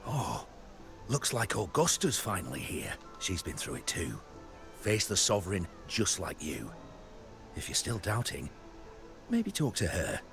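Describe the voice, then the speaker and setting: A man playing a weathered, skeptical camp veteran in a video game, with a gravelly voice and folksy, clipped phrasing. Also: deep voice, huge pitch swings, a little slow, over music, heavily acted. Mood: skeptical, advising. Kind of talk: scripted video game dialogue.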